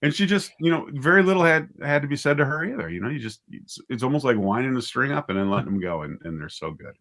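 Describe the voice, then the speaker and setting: A man's voice: mid-range voice, expressive, quick pace, fairly bright tone. A man speaking casually as an interview guest, a writer and director thinking aloud in a hesitant, conversational way.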